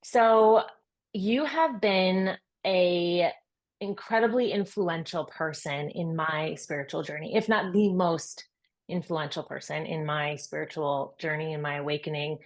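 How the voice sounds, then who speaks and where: Admiring podcast host, low-voiced woman. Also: unhurried. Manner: effusive and admiring, building long gushing sentences. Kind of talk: scripted-sounding interview introduction.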